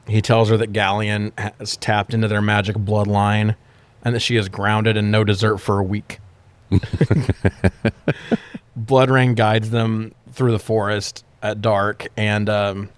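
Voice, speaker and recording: A man's voice: lowish voice, no echo. A man co-hosting a casual, comedic movie recap podcast with an irreverent, heavily profane way of talking. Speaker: podcast co-host.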